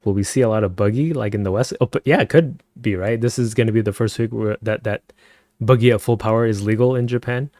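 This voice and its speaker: A man chatting casually with viewers on a live stream, speaking softly and keeping his voice down at night at home, and laughing often. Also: fast talker, no echo, clean studio sound. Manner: soft-spoken, keeps voice low at night.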